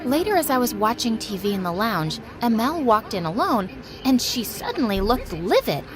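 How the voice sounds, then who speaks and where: A woman playing a kind, nervous teenage girl who narrates her own story in an animated video. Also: fairly high pitch, moderate pace, a crowd in the background. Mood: uneasy storytelling. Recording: music under the voice.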